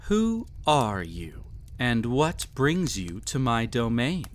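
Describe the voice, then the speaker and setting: A man's voice: mid-range voice, a little hiss or hum. Man acting a haughty, cruel emperor with sweeping intonation. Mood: impatient menace. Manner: haughty, formal, mocking, deliberate theatrical phrasing. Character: cruel, arrogant, possessive male emperor, devious and condescending. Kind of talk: scripted audio roleplay, villain monologue addressed to listener.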